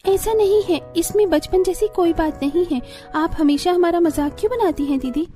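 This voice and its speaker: A woman's voice: very high voice, moderate pace, dark tone, faint music behind. A woman voicing a playful, girlish youngest daughter in a cartoon family story, eager and squabbling. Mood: whiny protest. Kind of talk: cartoon family drama dialogue.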